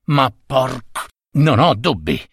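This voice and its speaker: A man's voice: medium pitch, sing-song, takes it slowly, vocal fry, fairly bright tone, breathy edge, dry recording, studio quality, full character voice. A man voicing a grumpy middle-aged newspaper foreman who growls his orders. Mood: gruff. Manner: growling, curt.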